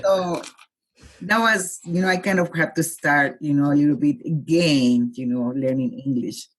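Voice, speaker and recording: A Colombian-born woman in her forties, a guest with a husky voice, talking reflectively in a casual interview and laughing easily. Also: sits low, moderate pace, low-quality recording.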